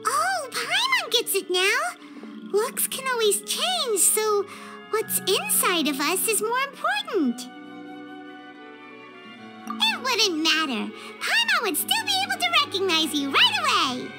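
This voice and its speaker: Squeaky, high little fairy companion. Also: female voice, wildly swooping pitch, takes it slowly, over loud music, exaggerated voice. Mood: cheerful. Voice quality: squeaky, shrill. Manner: refers to self in third person. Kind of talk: video game character dialogue.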